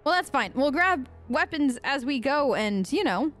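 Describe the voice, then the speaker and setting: A woman's voice: high voice, expressive, unhurried, soft background music. A woman doing casual, improvised role-play commentary on a game, flippant and chatty, joking in character. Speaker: let's play host.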